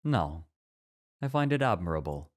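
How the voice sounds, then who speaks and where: A man playing a calm, introspective college teacher, speaking in a measured, formal way in an ASMR roleplay. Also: low voice. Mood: reflective. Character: composed, introspective, scholarly male college teacher.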